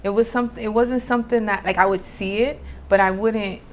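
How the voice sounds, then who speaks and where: A woman's voice: medium pitch, steady tone, brisk. A woman tells personal stories as a guest. She is informal and emphatic, repeating phrases and mixing in Spanish, in a mid-range voice over faint ambient noise. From Dominican Republic, later New York City. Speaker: podcast guest, spiritual entrepreneur. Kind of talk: candid personal storytelling, casual.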